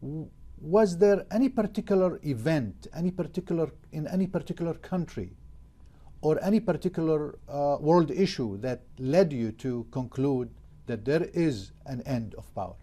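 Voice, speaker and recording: A man hosts a formal television interview in a dark, mellow voice. He asks long, winding questions, pausing on fillers as he goes. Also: on the high side, animated. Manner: hesitant questioning with many fillers. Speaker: TV interview host.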